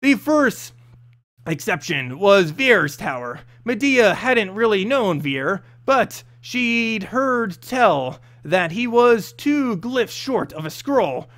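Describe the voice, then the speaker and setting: A man's voice: high-pitched, big pitch swings, slow pace, a bit bright. A man reading a scripted fantasy story as narrator, expressively, with an occasional stumble over the text.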